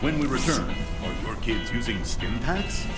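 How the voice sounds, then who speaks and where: A mid-pitched male voice from an actor delivers a parody newscast in a video game. He is a pompous, sensationalist anchor with very animated intonation. Music plays clearly behind him. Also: measured pace, natural voice. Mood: smug, alarmist. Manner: pompous, dramatic announcing. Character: slick, pompous, sensationalist television news anchor man.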